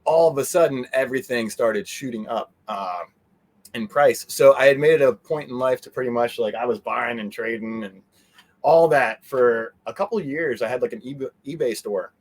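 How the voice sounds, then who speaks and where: A man of average pitch speaks as a guest in a casual interview. He rambles conversationally, often breaking off and circling back as he explains things. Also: normal speed.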